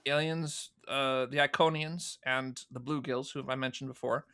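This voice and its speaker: A man's voice: medium pitch, fairly even intonation, normal speed, pushed and bright, smooth. A man speaking as a guest in a casual podcast conversation, telling things animatedly and acting out imagined quotes. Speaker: interview guest, audio drama producer.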